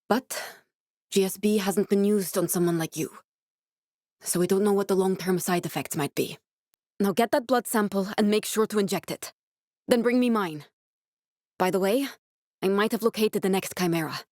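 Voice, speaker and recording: An actress playing an earnest, guarded woman scientist in a video game, speaking in a measured, serious, explanatory way with naturalistic delivery. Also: mid-range voice, a little mellow, no echo, clean studio sound, close to an everyday voice. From born in Norway, raised in Glasgow (character).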